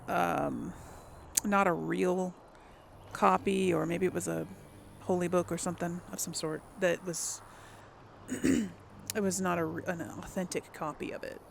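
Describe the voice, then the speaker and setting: A woman's voice: on the low side, vocal fry, slight background noise. A woman giving casual let's play commentary as host of a gaming video, chatty and rambling as she thinks aloud, often hesitating and correcting herself.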